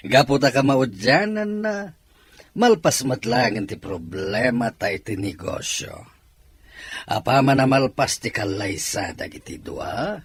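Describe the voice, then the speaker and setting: Radio drama father: older, gladdened, slowish and very varied pitch. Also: husky, heavily acted.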